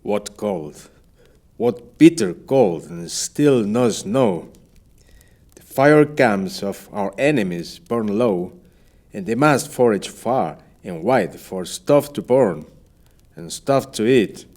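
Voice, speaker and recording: Man acting a slow-spoken proud Spanish sea captain. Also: fairly low pitch, big pitch swings, creaky, darkish tone, heavily acted. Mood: steadfast. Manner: measured, rhetorical, formal. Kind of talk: audio play, declaimed verse.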